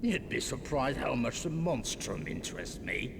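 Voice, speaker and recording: An actor playing a shady male underworld fixer in a video game, with a deep gravelly voice and a guarded, curt, menacing manner. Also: sing-song, normal speed. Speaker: character in a video game cutscene. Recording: game audio with long reverb and noise.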